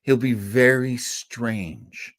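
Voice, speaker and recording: A man hosting an old-time radio show, telling its history informally, with emphatic pauses and repetition. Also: mid-range voice, fairly bright tone. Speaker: host presenting old-time radio shows. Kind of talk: informal storytelling lecture.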